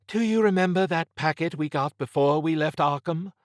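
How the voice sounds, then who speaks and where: Male narrator as shrewd woman spy, raised pitch, wary, sardonic, brisk questioning, audiobook dialogue, dry close sound. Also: dry recording, close to an everyday voice. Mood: pointed.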